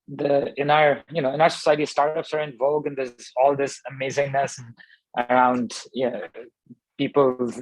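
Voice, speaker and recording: A man's voice: mid-range voice, no room sound, poor audio. A man in his thirties from Pakistan, a startup founder telling his story in an interview, thinking aloud with many fillers and restarts. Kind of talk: conversational interview answers.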